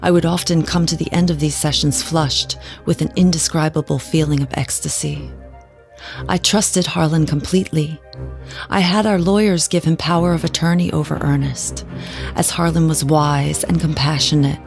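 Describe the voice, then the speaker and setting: An actress playing a haunted, genteel wife who tells her story in a measured, dramatic way in an audio drama. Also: deep voice, fairly even intonation, creaky, faint music behind. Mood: confessional. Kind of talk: scripted audio drama narration.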